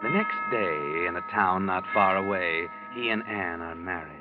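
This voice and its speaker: Actor as low-pitched ominous narrator over loud music. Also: male voice, natural voice. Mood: ominous, measured. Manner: measured, dramatic. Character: ominous, all-knowing male mystery narrator. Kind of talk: 1940s radio suspense narration. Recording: muffled 1940s radio, loud music behind.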